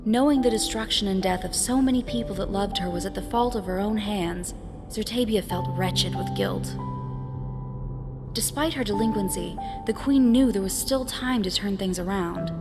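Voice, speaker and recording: A woman narrating a scripted fantasy story in a solemn, deliberate, dramatic way. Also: medium pitch. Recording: reverberant hall, music behind.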